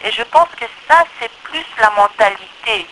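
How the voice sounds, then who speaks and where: A woman's voice: fairly high pitch, lively intonation, moderate pace, pushed and bright, slight background noise, low-quality recording. A woman in her thirties from the Congo with a Congolese accent, explaining her views in a conversational interview and repeating points for emphasis. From Democratic Republic of the Congo. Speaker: interview guest, electrical engineer.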